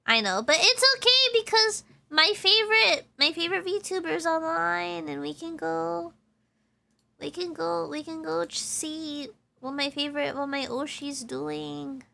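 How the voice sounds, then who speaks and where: A woman's voice: extremely high pitch, a little slow, gravelly, bright, forceful tone. A young woman streaming a game, chatting casually and rambling with lots of fillers, her nose stuffy from allergies. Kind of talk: casual gameplay stream chat. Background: game music.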